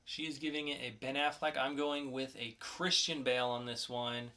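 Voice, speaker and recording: Male podcast co-host, bright tone, casual comic-review delivery, conversational with punched announcements. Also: mid-range voice, steady tone, normal speed.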